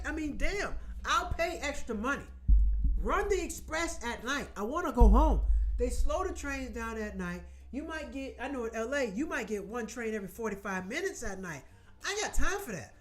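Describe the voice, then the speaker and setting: Rambling, salty host from Florida. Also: high-pitched. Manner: rambling, salty, talks in quick asides. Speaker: show host. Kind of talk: casual chat with viewers.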